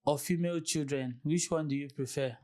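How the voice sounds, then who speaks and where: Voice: medium pitch, brisk, a little mellow. A chatty interview host who repeats phrases and asks viewers to like, comment and share before introducing the guest. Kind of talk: casual interview hosting.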